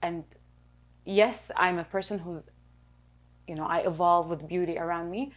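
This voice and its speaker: Chatty low-voiced woman guest. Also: fairly even intonation, normal speed. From Lebanese, raised in Kuwait. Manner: chatty, many fillers, reflective. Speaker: nutritionist, podcast guest. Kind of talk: casual interview chat.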